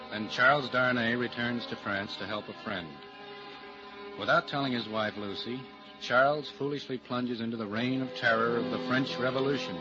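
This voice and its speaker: Male narrator for vintage radio drama, dramatic scripted storytelling, dull period recording with prominent music behind the voice. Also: medium pitch.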